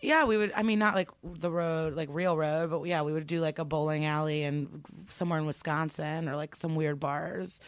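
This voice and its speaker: A woman's voice: low voice, fairly even intonation, moderate pace, no room sound. A young woman from Ukraine, a stand-up comedian, chatting casually as a podcast guest and telling stories with many fillers.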